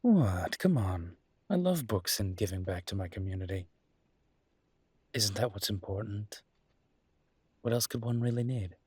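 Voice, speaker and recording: Man playing a low, husky, charming young mafia boss. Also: expressive, measured pace, pauses often, a little mellow, dry recording. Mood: teasing, persuasive. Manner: playful, smooth-talking, teasing. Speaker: voice actor in a roleplay audio. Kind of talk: intimate audio roleplay acting.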